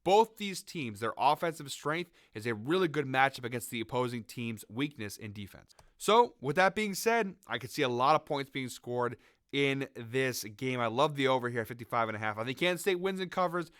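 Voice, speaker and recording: Sick raspy young man talking bets. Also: mid-range voice, animated, fairly quick, fairly bright tone, no echo, clean studio sound. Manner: conversational, hedging, frequent fillers. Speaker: sports betting show host. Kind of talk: casual picks commentary to camera.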